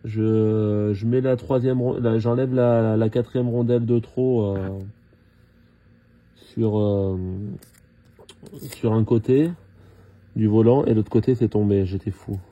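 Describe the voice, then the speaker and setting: A man talking casually and haltingly through hands-on work, thinking aloud as he goes. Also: on the low side, fairly even intonation, warm tone, no echo, dull audio. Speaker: car mechanic hobbyist filming his own repair. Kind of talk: casual hands-on tutorial narration.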